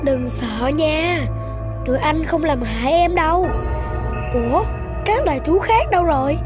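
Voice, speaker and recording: Kids' animation sidekick, female actor: young, gentle, inquisitive, high-pitched, music underneath. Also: expressive, unhurried. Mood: reassuring. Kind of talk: children's cartoon acting.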